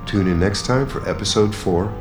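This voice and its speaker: A man's voice: fairly low pitch, moderate pace. A man announcing the closing credits of a radio play over guitar music. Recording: roomy, echoing sound.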